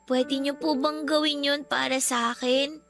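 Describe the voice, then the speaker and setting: A woman playing a gentle, ailing young daughter in a radio drama, polite and pleading. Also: high-pitched, steady tone, unhurried, faint music behind. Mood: resigned, grateful. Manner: soft-spoken, respectful, pleading.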